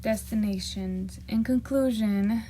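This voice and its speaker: A woman whose first language is Spanish gives a nervous, hesitant class presentation, with many pauses, ums and restarted words. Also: medium pitch, warm tone, a little hiss or hum. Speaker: student presenting a project.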